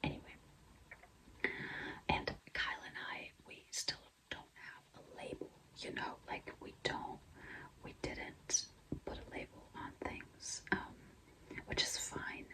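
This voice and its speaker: A young woman whispering in a breathy, mostly unvoiced voice, chatting confidingly and casually to viewers as a vlogger telling a personal story. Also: slow, poor audio. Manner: confiding, conversational, frequent "like" and "you know".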